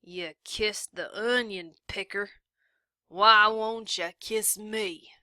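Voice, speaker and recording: A woman voicing a drunken, leering small-town sheriff who speaks in a slow drawl. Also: medium pitch, steady tone, pushed and bright, dry recording, clean studio sound.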